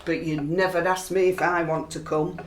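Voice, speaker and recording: A woman's voice: fairly low pitch, little pitch movement, moderate pace, slight background noise, lightly acted. A woman playing a blunt, downhearted working-class wife with a Yorkshire accent in a radio play. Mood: scornful, hurt. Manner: blunt, scolding, turning weary. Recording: roomy, echoing home-made recording.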